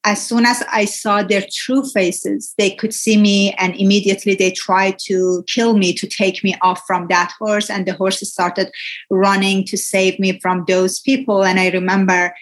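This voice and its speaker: A woman's voice: medium pitch, level tone, unhurried. A woman in her forties speaks English with a Persian accent, since Farsi is her first language. She tells her story in an earnest way, often stopping on "uh" and making small slips in grammar. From Iran. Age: 40s. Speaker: interview guest. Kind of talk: personal testimony in an interview.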